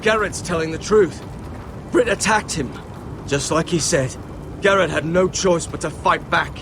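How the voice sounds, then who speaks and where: An actor playing a lowly young watchman in a game drama, insistent and earnest as he speaks up. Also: measured pace, background noise.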